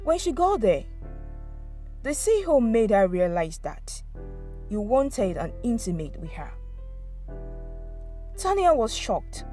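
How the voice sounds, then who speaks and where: A woman's voice: fairly high pitch, very animated, slow, pauses often, dark tone, soft background music. A woman narrating a scripted dramatic folktale as a storyteller.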